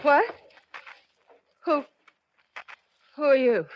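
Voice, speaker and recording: A frightened woman with a gangster past, her voice fairly high. She speaks in anxious, halting pleas, with the melodrama of vintage radio crime shows. The recording is dull and muffled. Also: animated, slight background noise, full character voice. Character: frightened, pleading woman, gangster's former girlfriend. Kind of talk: 1940s radio crime drama acting.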